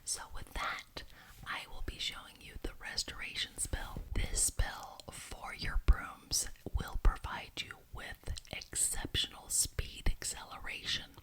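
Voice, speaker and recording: A woman performing an ASMR roleplay as a genteel, officious witch, speaking in a breathy whisper and deliberately repeating words for emphasis. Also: poor audio. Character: genteel, officious witch chairing a neighborhood meeting.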